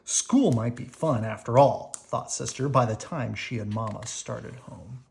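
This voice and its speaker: A woman reading a children's storybook aloud in an animated, unhurried way for young listeners. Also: rumbling low, vocal fry. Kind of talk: expressive read-aloud storytelling.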